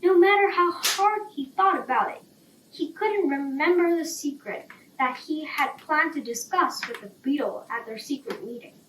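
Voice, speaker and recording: A woman's voice: sits high, expressive, moderate pace. A woman narrating a children's bedtime story from a script. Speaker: storybook reader. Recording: roomy, distant, faint background noise.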